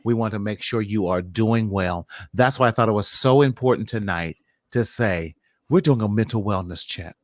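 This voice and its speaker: A man's voice: fairly low pitch, moderate pace, dry recording, studio quality. A man hosting a mental wellness show, talking to viewers in an affectionate, encouraging, motivational way. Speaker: podcast host. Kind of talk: warm motivational talk to online viewers.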